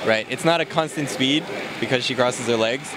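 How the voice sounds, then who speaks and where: A man casually walking through a game character's moves, explaining in a relaxed way with many fillers, recorded at a noisy event. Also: mid-range voice, normal speed. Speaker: game developer demoing a character. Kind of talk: casual gameplay walkthrough. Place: convention floor, noisy.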